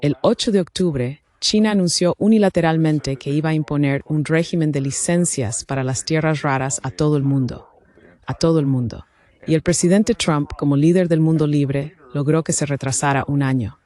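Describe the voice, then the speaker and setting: A man's voice: fairly high pitch, normal speed, a little mellow. A man reading a translated voice-over of a government official's political statement.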